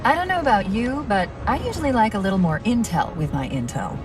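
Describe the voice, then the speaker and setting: A woman voicing a wry AI companion over loud score. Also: medium pitch, sing-song, music in the background, natural voice. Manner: wry, quick, then anguished. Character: sharp-witted female AI companion, strained. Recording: game cutscene with loud score.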